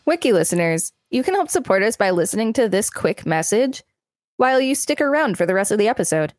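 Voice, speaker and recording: A woman's voice: mid-range voice, big pitch swings, a little fast, dry recording, faint music behind. A woman co-hosting a podcast, reading an article aloud and chatting casually. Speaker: podcast co-host.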